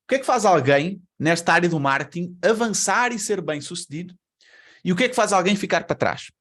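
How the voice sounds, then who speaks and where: Higher-pitched man speaking with a Lisbon-style Portuguese accent. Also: animated, brisk, a bit bright. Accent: European Portuguese. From Brazil. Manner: conversational, asks rhetorical questions. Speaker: webinar speaker. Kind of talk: presentation to an online audience.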